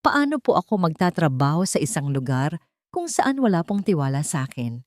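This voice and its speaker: A woman's voice: low voice, very animated, moderate pace, a little mellow, no echo, studio quality. A woman voicing a proud young housemaid who stays firm and polite despite her hurt. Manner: firm, polite but cold.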